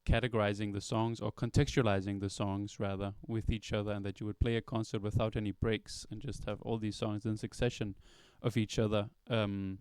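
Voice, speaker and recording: Smooth-voiced man asking questions. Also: on the low side, level tone, brisk, hardly any pauses, a little mellow, dry recording, studio quality. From Denmark. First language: Danish. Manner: thoughtful, long reflective questions. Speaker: interviewer, songwriter. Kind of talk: interview questions. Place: record store at a release party.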